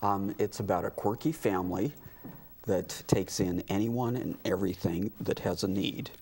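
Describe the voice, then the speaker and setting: A man talking casually as an interview guest, in a halting, conversational way. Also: low-pitched, creaky, darkish tone, breathy edge. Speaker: interview guest, community theater director.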